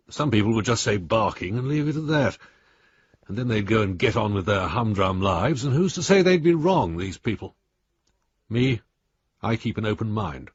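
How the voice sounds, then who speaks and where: An actor playing a long-suffering lawyer, reading letters to a friend in a dry, measured, mildly exasperated manner in a radio drama. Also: male voice, fairly low pitch, husky, no echo, muffled recording, exaggerated voice. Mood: sceptical, resigned.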